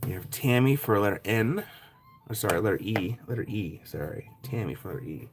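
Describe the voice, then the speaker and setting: A man with a raspy voice, chatting casually as he sells rocks on a live stream and thanks buyers by name. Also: lowish voice, lively intonation, a little fast. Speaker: live stream rock seller. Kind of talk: casual live sale chat.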